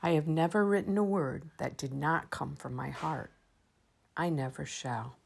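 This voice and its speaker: A woman's voice: low-pitched, measured pace, creaky, breathy edge, exaggerated voice. A woman reading the words of an earnest, unbending female journalist for a storybook. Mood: earnest conviction. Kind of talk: read-aloud quotation.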